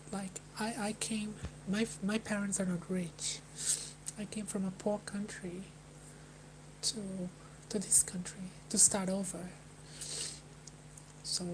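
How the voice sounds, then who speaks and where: Young woman, from Brazil, hesitant, flat monotone, hum and noise behind. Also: mid-range voice. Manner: hesitant, halting, with many restarts and sighs. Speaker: livestreamer. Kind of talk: personal talk to a live chat. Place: Melbourne, indoors.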